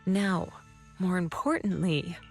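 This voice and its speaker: A woman voicing a calm, wise female sword master who patiently explains things in a measured way, in a video game. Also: fairly low pitch. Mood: explaining. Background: faint game music.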